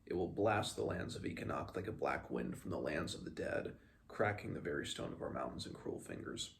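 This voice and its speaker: Man reading as solemn, gravelly old shaman. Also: rumbling low, animated, fairly quick, slight background noise, pitched below the performer's own voice, heavily acted. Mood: solemn farewell. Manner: solemn, formal recitation. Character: solemn old male shaman reciting his death song.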